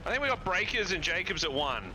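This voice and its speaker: A man's voice: sits high, big pitch swings, fast, sharp tone, a little hiss or hum, low-quality recording. A man playing a co-op speedrun, calling out moves in a laid-back, casual way. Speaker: co-op speedrun partner. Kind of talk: casual gaming chat.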